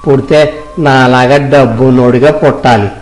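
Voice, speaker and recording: A man's voice: mid-range voice, fairly even intonation, normal speed, darkish tone, close to an everyday voice, rough recording. A man playing an arrogant, mocking rich village man in a moral story, speaking colloquial rural Telugu. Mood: mocking, boastful. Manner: mocking, boastful, condescending. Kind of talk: moral story audio drama. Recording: music behind the voice.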